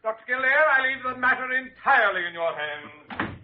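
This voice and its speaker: An actor playing a prim, fussy, easily flustered male hospital doctor in an old radio drama, with a breathy, prissy delivery. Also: very high-pitched, animated, normal speed, noisy background. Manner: prissy, stammering, pompous. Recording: dull, lo-fi vintage radio recording.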